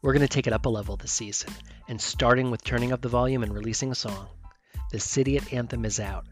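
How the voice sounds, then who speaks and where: A man's voice: medium pitch, steady tone, fast, soft background music. A man in his fifties hosting a podcast, reading an upbeat promotional intro. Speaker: podcast host. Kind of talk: scripted podcast intro, then interview.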